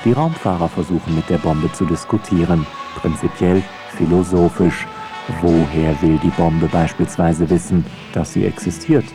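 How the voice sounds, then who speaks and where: A male feature narrator with a low voice. He delivers scripted lines in a measured, wry way. Music is clearly present behind him.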